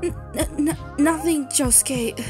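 A woman plays a coy, bashful young anime woman. The voice is fairly high and slow, in a fan comic dub style. Music plays behind it. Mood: coy, flustered.

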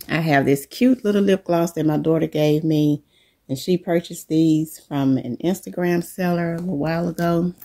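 A middle-aged woman coming down with a cold, showing the contents of her bag to viewers in a relaxed, unhurried chat from her living room. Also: fairly low pitch, creaky. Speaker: vlogger. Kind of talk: casual show-and-tell chat.

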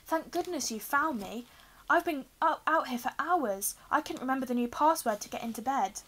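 A woman's voice: high voice, animated, normal speed, fairly bright tone, pitched above the performer's own voice. A woman voicing a timid, anxious schoolboy with a plaintive, fretful manner in a storybook reading. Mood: relieved, plaintive.

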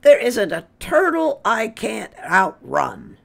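Boastful, indignant storybook hare, played by a woman: very drawn-out, emphatic delivery, swooping pitch. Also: sing-song, gravelly, fairly bright tone, heavily acted. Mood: proud, insistent. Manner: emphatic, proudly correcting.

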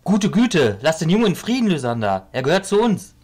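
A man's voice: fairly high pitch, very animated, a little fast, darkish tone. A man playing a blunt, grumpy bearded illusionist, a sceptical leader in a fantasy audio drama. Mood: alarmed.

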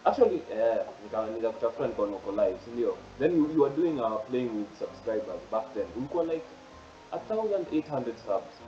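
Young Kenyan host with a mellow voice. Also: male voice, mid-range voice, very animated, quick pace, echoey room, distant mic, muffled recording, faint music behind. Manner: playful, slips between English and Swahili. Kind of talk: casual chat. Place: plain room indoors. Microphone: earphone mic on a video call.

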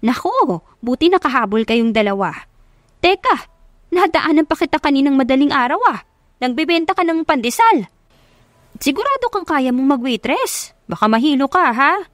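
A fussy old neighbourhood woman acted out by a female narrator in a radio drama love story. She frets and bosses in a familiar way, pitched high and recorded dry. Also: very animated, normal speed, fairly bright tone, no echo. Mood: worried. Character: fussy, caring old neighbourhood woman who hires helpers.

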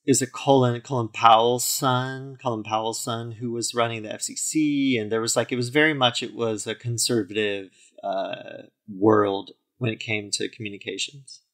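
A man's voice: medium pitch, fairly even intonation, normal speed, hardly any pauses. A man in his forties, a podcast guest, telling his story fluently with frequent "you know" fillers. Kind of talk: interview conversation.

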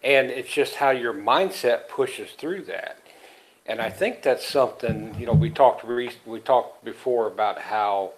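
A man's voice: fairly low pitch, poor audio. A middle-aged man talks in an easygoing, folksy way, rambling through his thoughts. He co-hosts a casual podcast from a small room, speaking into a headset on a video call. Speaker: podcast co-host.

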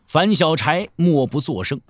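A man's voice: fairly high pitch, sing-song, unhurried, no echo. A man narrating a Chinese wuxia audio drama in dramatic, scripted storytelling style.

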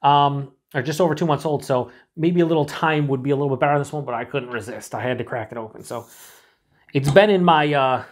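A middle-aged man reviewing a beer alone to camera in a small room. He talks in a chatty, excited way, swears freely and sometimes drops to breathy whispers. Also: mid-range voice. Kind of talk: casual solo review to camera.

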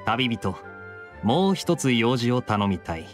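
A smooth-talking young knight captain from an anime game. He is wry and nonchalant, with lively swings in pitch over faint music. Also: takes it slowly. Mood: businesslike. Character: suave, teasing young male knight captain.

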